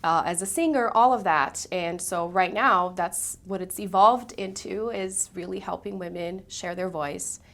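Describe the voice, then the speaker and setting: A woman's voice: lowish voice, unhurried, few pauses, fairly bright tone. A woman from Brazil, a singer and speaking coach, telling her story earnestly as a guest in an interview. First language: Portuguese. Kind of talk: interview conversation.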